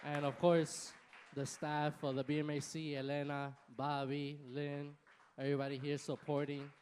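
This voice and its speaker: A man on a concert hall stage giving casual thanks and shout-outs into a microphone, switching between English and Spanish. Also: fairly high pitch, completely flat delivery, unhurried, a faint crowd, slight background noise. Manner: casual, hesitant stage patter. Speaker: bandleader and emcee.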